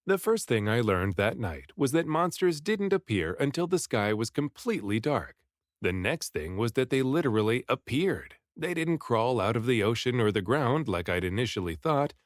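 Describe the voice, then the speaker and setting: Male audiobook narrator, highly varied pitch, dramatic delivery, very dry studio-like sound. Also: normal speed, dry recording. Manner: dramatic, animated delivery with acted dialogue. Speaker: read-aloud narrator and voice actor. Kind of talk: expressive first-person audiobook storytelling.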